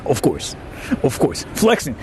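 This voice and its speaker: A man's voice: mid-range voice, wildly swooping pitch, a little slow, a little hiss or hum. A man livestreaming a casual rant, incredulous and exclamatory, swearing often as he reacts to criticism. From Japan. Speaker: livestreamer and YouTuber.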